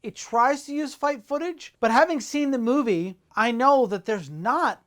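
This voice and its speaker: A woman's voice: on the high side, expressive, unhurried. A woman co-hosting a casual film commentary show, talking in an opinionated, playfully emphatic way. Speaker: co-host of a film trailer show; film editor.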